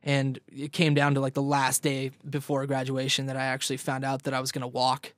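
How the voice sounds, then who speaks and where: A man's voice: medium pitch, monotone, a little fast, no echo, clean studio sound. A young man in casual interview chat as a guest musician, rambling, repeating words and laughing often.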